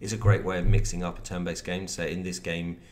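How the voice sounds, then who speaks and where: A man's voice: deep voice, little pitch movement, fairly quick, darkish tone, slight background noise. A man with a West Country accent, a game designer explaining mechanics and history in casual chat while a game is played, often restarting his sentences. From partly Devon, England. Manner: explanatory, chatty, with frequent restarts. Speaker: creative director and game designer guest.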